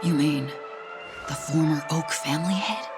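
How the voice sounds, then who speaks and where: A man's voice: fairly high pitch, moderate pace, lightly acted. A man as a nosy, skeptical gossip. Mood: curious. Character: curious, skeptical male gossip. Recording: game cutscene over loud music.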